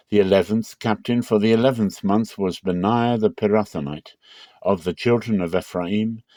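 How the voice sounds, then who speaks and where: A man with a low voice gives a dramatic reading of scripture. He is measured and unhurried, stretching key phrases. The recording is close and dry, with no room sound. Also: darkish tone, no room sound. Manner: measured, slows for emphasis. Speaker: Bible reader.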